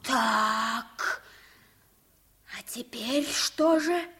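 A woman voicing an excitable, somewhat timid young boy in a 1950s radio play. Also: a little high, very slow, exaggerated voice. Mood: nervous. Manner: anxious, whispering at times, excitable.

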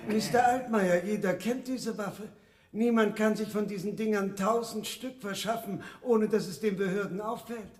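An actor playing a formal, courteous arms buyer in a German radio drama, speaking in a measured, deliberate way. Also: male voice, high-pitched, darkish tone, natural voice. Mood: measured, businesslike. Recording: roomy, distant from the mic.

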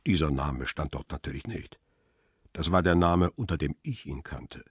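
A betrayed photographer telling his story in a radio crime drama. His voice is very deep and husky, close and dry. He is brooding and bitter, reflecting in measured sentences. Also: no room sound. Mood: brooding, shaken. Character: bitter, betrayed male paparazzo narrating his own story. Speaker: first-person narrator.

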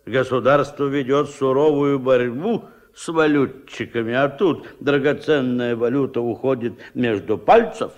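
An actor playing a pompous, bossy, suspicious fishery boss in a radio play, with an officious, bureaucratic, blustering way of talking. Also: male voice, mid-range voice, measured pace, hardly any pauses, lightly acted. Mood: officious, skeptical. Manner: officious, bureaucratic, repeating catchphrases. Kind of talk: Soviet radio play acting.

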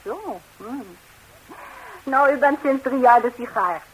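An actress playing a proud, sharp-tongued middle-aged lady of the house, mock-polite, in a 1960s radio comedy. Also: sits high, slow, husky, full character voice, poor audio. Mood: pointed, triumphant. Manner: tart, mock-polite, pointed. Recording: hissy old 1960s radio recording.

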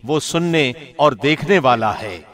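A man reading a scripture translation as a formal scripted narration, in a measured, solemn manner. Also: medium pitch, lively intonation, fairly bright tone.

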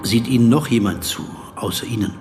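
A man playing a reserved, observant interviewer in a radio play, narrating and questioning in a measured, restrained way. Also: medium pitch, darkish tone, close to an everyday voice. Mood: hushed, observant. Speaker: interviewer and first-person narrator. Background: music.

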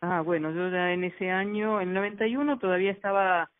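A woman's voice: lowish voice, fairly quick, no room sound. Middle-aged woman, musing, opinionated talker, dry lo-fi phone quality. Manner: reflective, opinionated, uses filler "o sea". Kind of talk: casual radio conversation. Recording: dry phone-line sound.

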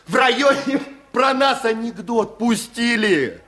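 A man's voice: very high-pitched, animated, slow, sharp tone, full character voice. An actor in a Soviet radio play, playing a nervous, thin village foreman who complains with agitated sarcasm. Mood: scornful.

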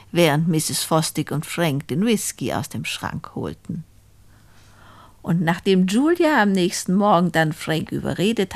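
A sly, lively-voiced spinster murderess. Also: female voice, lowish voice, no echo, full character voice. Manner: deliberate, confiding, addressing listeners directly. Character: sly, gloating poor spinster who narrates her own murder. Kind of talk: German radio play monologue, storytelling.

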